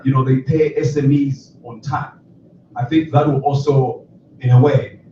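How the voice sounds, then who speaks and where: A man's voice: medium pitch, warm tone, muffled recording, a little hiss or hum. A man, an entrepreneur, earnestly making a comment from the floor of a panel discussion. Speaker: entrepreneur, radio station founder. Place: conference hall. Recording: very echoey hall, distant mic.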